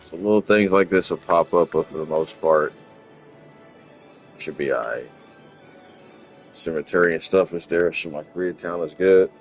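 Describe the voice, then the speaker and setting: Very deep-voiced relaxed gamer. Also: male voice, normal speed, long pauses, soft background music. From Los Angeles, USA. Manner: relaxed, rambling, repeats words, chuckles. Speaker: gaming channel host. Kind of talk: casual gameplay walkthrough commentary.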